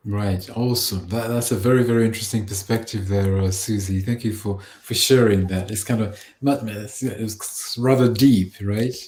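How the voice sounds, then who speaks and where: A man hosting an online panel over a video call, halting and encouraging, often repeating his words. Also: on the low side, steady tone, darkish tone, echoey room.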